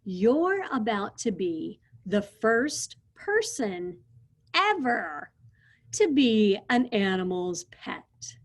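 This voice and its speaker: A woman voicing a big, gentle male dinosaur in a storybook read-aloud, speaking patiently and politely. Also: medium pitch, sing-song, extremely slow, full character voice. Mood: calm polite.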